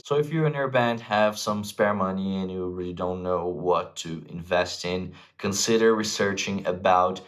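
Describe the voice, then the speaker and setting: A man talking informally and enthusiastically, like a vlog host giving casual music gear recommendations to viewers. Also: on the low side. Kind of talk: casual gear advice to camera.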